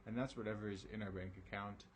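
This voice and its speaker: A man teaching a recorded algebra lesson, his voice raspy and tired from a late hour, talking casually with lots of ums and okays. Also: on the low side, fairly even intonation, fast talker, slight background noise. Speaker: math teacher.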